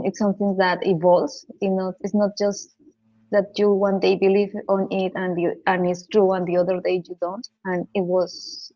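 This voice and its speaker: A woman's voice: medium pitch, warm tone, no echo, lo-fi sound. A woman with a Colombian accent answering interview questions, speaking hesitantly with many fillers and restarts. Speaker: guest, physicist and data scientist.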